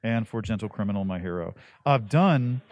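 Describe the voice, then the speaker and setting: A middle-aged man with a deep bass-baritone voice, chatting as a voice-actor guest in a casual interview and leaping up in pitch to stress his points. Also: normal speed, lo-fi sound. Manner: animated, jumps up in pitch for emphasis.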